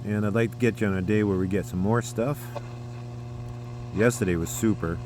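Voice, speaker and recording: Low-voiced man over loud radio noise. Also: moderate pace. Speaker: radio hobbyist video host. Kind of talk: casual hands-on demonstration vlog. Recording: loud radio static and hiss behind.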